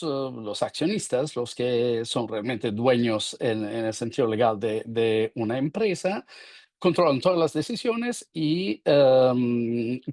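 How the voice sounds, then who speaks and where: A middle-aged Italian man with an Italian accent, giving a webinar talk as a professor, speaking slowly with many fillers while he searches for words. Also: on the high side, vocal fry, a little mellow, no echo, clean studio sound. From Italy. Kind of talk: webinar presentation.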